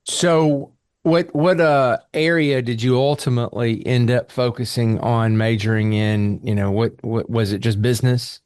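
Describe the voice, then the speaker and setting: A man's voice: mid-range voice, fairly even intonation, measured pace, darkish tone, clear, resonant tone, dry recording, studio quality. A man hosting a podcast, asking curious questions and often restarting them. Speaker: podcast host. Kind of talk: conversational interview questions.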